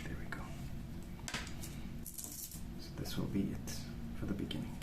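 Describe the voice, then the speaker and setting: Guy doing soft asmr rambling, really slow and hushed, kinda hesitant, roomy echo. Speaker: ASMR video host. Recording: roomy, distant mic with clear noise.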